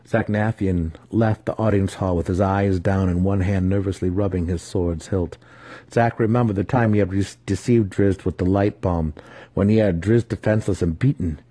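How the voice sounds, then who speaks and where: A man narrates a fantasy audiobook in a dramatic storytelling style. His voice is deep and dark, with a husky edge. The reading is scripted and theatrical. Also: fairly even intonation, moderate pace, no echo.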